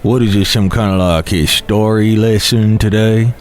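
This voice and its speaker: A man's voice: lowish voice, steady tone, moderate pace, a little hiss or hum, voice pushed down, close to an everyday voice. A man voicing a grumpy, blunt male fiddle player with a grumbling, indifferent manner.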